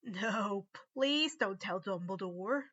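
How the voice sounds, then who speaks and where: A woman's voice: mid-range voice, lively intonation, takes it slowly, a bit bright, lo-fi sound. A woman playing a frightened, guilt-stricken young boy wizard in a story, gasping and urgent.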